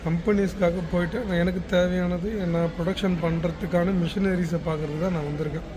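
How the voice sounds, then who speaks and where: A man's voice: high voice, flat delivery, fast talker. A man from Chennai with a Tamil Nadu accent, talking casually as a business founder filming a travel vlog in an airport. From Chennai, India. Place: busy international airport. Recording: noisy public space, clear background noise.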